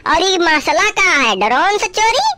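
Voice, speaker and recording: A man's voice: very high voice, sing-song, measured pace, sharp tone, full character voice. A man voicing a bossy, corrupt village police inspector in a comedy cartoon, scolding in a rural Uttar Pradesh Hindi accent. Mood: irritated, scolding. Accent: eastern Hindi belt, rural Uttar Pradesh. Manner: scolding, self-important, swearing. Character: bossy, bribe-taking male village police sub-inspector.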